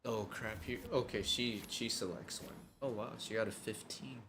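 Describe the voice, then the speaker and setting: A man's voice: fairly low pitch, steady tone, moderate pace, background noise. A young man chatting casually as a game livestreamer, slangy and laid-back.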